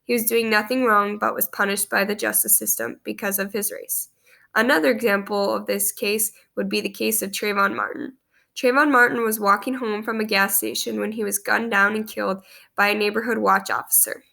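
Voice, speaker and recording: A woman's voice: medium pitch, steady tone, a little fast, gravelly, sharp tone. A young woman in her twenties with a stuffy cold, earnestly reading a class presentation to a webcam in a small room. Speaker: student presenting a class post. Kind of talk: read-aloud presentation. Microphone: webcam at close range.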